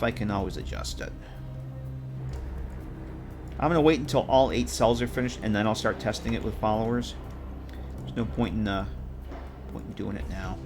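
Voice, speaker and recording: A man streaming from home at a desk mic, tired and a bit stuffy in the nose. His voice sits in a middle pitch, and he rambles, restarts and thinks aloud as he works. Faint music plays underneath. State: congested, tired. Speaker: modding streamer. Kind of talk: casual live-stream commentary while working.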